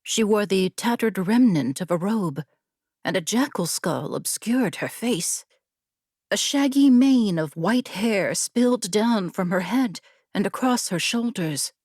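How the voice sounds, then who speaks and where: A woman narrating a scripted fantasy story as an audiobook reader, measured and deliberate. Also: mid-range voice, expressive, darkish tone, slightly rough, no room sound, studio quality.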